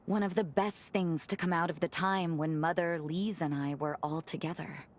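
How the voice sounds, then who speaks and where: A woman voicing a gentle young vampire in a video game cutscene, speaking politely and thoughtfully with formal wording and soft teasing. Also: low voice, fairly even intonation, normal speed, close to an everyday voice. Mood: earnest, apologetic. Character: gentle revenant woman, a vampire who loves cooking.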